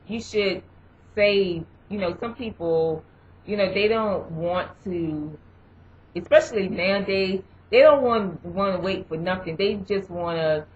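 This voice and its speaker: A young woman vlogger giving casual advice, rambling and filling pauses with "you know" and "um". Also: mid-range voice, a little mellow. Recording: dull lo-fi recording.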